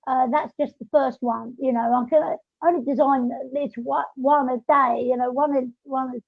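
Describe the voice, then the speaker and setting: Female podcast host, very high voice, chatty and rambling, close dry sound on a lo-fi online call. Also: steady tone, normal speed, vocal fry, no echo. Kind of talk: casual podcast chat. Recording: dull lo-fi online call audio.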